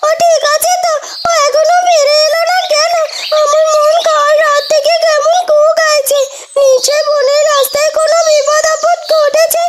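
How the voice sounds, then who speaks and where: A woman's voice: very high-pitched, flat delivery, normal speed. A woman voicing an anxious, devoted young village woman in a cartoon, pleading and emotional.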